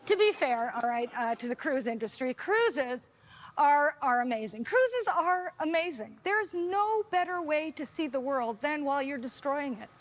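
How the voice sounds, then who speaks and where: Young canadian woman doing standup, high voice, rambly and self-deprecating, hungarian was her first language, faint hum behind. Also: expressive, moderate pace. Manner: chatty, self-deprecating, lots of fillers and restarts. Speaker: stand-up comedian. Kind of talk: stand-up comedy set. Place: comedy festival stage.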